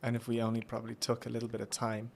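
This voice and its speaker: An Irish man hosting a reflective conversation, musing slowly and thoughtfully. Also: mid-range voice, completely flat delivery, creaky, a little mellow. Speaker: podcast host.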